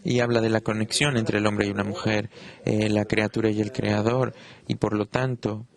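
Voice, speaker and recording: A man, not a native Spanish speaker, giving an unscripted Kabbalah lesson with many pauses and restarts. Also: fairly low pitch, level tone. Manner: halting, frequent "eh" pauses and restarts. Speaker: Kabbalah teacher. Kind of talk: unscripted lecture.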